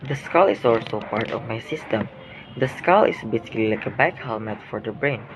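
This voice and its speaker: A man's voice: on the low side, animated, a little fast. Man acting a self-important skeleton, noisy home recording. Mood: proud, explanatory. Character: proud skeletal system of a body testifying in court. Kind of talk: amateur reader's theater, scripted educational play. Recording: home recording, noise, roosters crowing.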